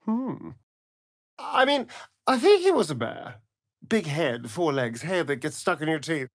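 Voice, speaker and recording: An aristocratic English vampire rogue for a video game, sardonic and arch. He drawls in unhurried phrases, his pitch swooping theatrically. The sound is close and very dry. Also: sing-song, no room sound. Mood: flustered. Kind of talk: video game character dialogue.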